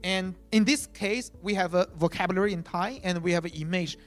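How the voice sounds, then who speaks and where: A man's voice: sits high, a little fast, faint music behind. A man whose first language is Chinese, giving a conference talk as chief product officer, speaking conversationally with many "uh" fillers and "right?" tags.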